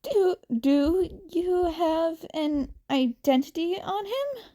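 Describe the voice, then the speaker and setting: A woman voicing a nervous teenage boy vigilante in a story, halting and stammering as he talks. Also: very high voice, lively intonation, mellow, pitched above the performer's own voice, heavily acted. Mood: anxious questioning.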